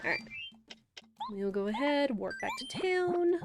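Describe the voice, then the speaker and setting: A woman's voice: high voice, big pitch swings, unhurried. Congested, chatty young woman streaming a game. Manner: chatty, rambling, with fillers. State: congested, nasal from a cold. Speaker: gaming streamer. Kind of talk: casual live-stream commentary. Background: game music.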